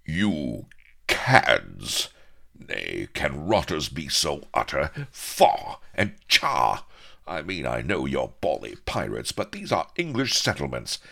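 A man's voice: rumbling low, huge pitch swings, moderate pace, gravelly, few pauses, a bit bright, voice pushed up, heavily acted. A pompous young officer hero in a comic audiobook. He is plummy and easily outraged, his raised voice swooping about with schoolboy indignation.

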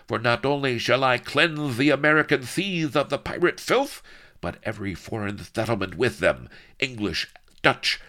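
A man's voice: mid-range voice, creaky, bright, forceful tone, pitched above the performer's own voice, heavily acted. A man voicing a bombastic, bloodthirsty Spanish villain lord in an adventure story, with pompous, bellowing, theatrical ranting. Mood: furious, bombastic.

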